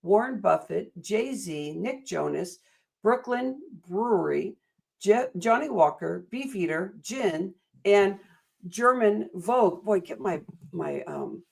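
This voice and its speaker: A woman's voice: mid-range voice, expressive, slow, creaky, a little mellow. An American woman hosting a motivational interview, enthusiastic and a little rambling, speaking in affirming phrases. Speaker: interview host.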